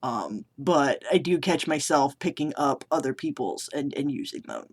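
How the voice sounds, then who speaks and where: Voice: mid-range voice, animated, moderate pace, slightly rough. A vlogger from New England chatting casually to the camera, rambling and self-deprecating with many restarts. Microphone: camera mic.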